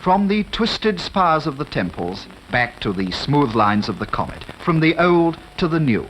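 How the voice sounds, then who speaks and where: A man's voice: a little high, big pitch swings, unhurried, fairly bright tone, rough recording. A man with a British accent giving formal, scripted 1950s newsreel narration. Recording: archive newsreel voice-over with music behind.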